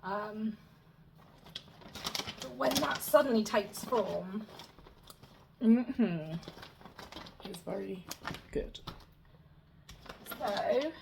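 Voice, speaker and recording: Chatty slightly raspy woman. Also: medium pitch, extremely slow, pauses often, noisy background. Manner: chatty, rambling, self-deprecating. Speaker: cross-stitch vlogger. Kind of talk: casual show-and-tell chat.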